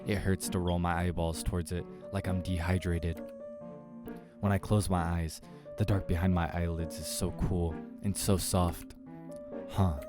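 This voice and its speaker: A man's voice: deep voice, little pitch movement, a little slow, over music. A man reading scripted first-person horror narration aloud from a visual novel. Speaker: game player reading aloud.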